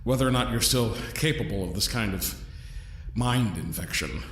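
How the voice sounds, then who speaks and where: Man playing a wry, lowish-voiced young captive. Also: normal speed, fairly bright tone, lightly acted. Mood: wry, defiant. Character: trapped young man, wry, inquisitive, coolly defiant. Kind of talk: scripted audio drama acting. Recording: roomy echo, noticeable background noise.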